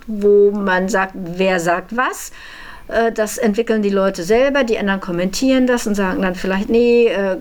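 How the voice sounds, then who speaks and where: A woman with a slightly high voice talks in an explanatory interview manner. She sounds like an organizer describing how a workshop runs.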